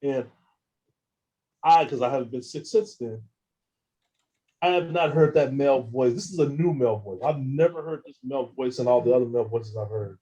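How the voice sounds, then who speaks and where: A man telling his own experiences as an interview guest, halting and often repeating words as he restarts sentences. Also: mid-range voice, warm tone, low-quality recording. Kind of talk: casual first-person account.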